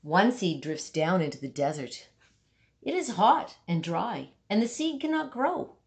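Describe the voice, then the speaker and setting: A woman reading a children's picture book aloud, with dramatic emphasis and deliberate pauses for young listeners. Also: medium pitch, sing-song, a little mellow. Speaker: storybook reader.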